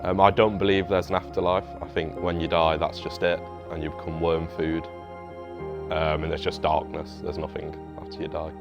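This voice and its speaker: A man's voice: a bass voice, flat delivery, moderate pace, gravelly. A twenty-one-year-old man, a student, stating his views in a matter-of-fact way. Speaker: media production student. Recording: dull lo-fi recording with music. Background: music.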